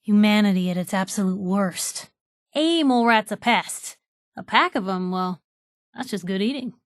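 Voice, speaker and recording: A woman's voice: medium pitch, lively intonation, normal speed, no room sound, clean studio sound, close to an everyday voice. An actress playing a plucky young woman reporter, a video game companion with a wry, conversational, sardonic way of talking. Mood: scornful, then wry storytelling.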